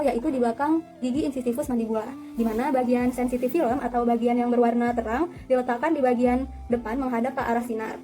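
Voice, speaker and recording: A young woman giving an instructional demonstration in an orderly, polite, step-by-step manner. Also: fairly high pitch. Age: young adult. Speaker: dental student demonstrating a radiography procedure.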